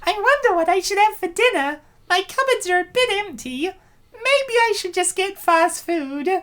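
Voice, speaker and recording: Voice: extremely high pitch, lively intonation, normal speed, pushed and bright, pitched above the performer's own voice, heavily acted. A performer voicing a polite, breezy young woman, the heroine of an amateur comic dub. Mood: musing, breezy.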